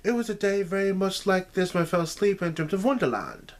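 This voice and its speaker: Man reading as proper young storybook heroine, raised pitch, excitable, earnest, musing aloud. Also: fairly quick. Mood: startled.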